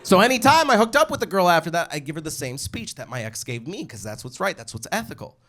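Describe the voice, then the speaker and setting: A male stand-up comic with a slightly high voice and very lively, swinging intonation. He tells stories casually into a stage mic, acting out both sides of conversations. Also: fast. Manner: casual, animated, swearing, acts out dialogue. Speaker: stand-up comedian. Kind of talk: stand-up comedy storytelling. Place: live stand-up show with audience. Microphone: stage microphone. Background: audience laughter.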